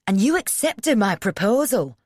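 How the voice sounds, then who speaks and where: A woman voicing a hurt, furious young man who yells, stutters and sneers in an audiobook. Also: medium pitch, big pitch swings, moderate pace, dry recording, studio quality, voice pushed up. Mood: accusing. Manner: yelling, stuttering, sarcastic.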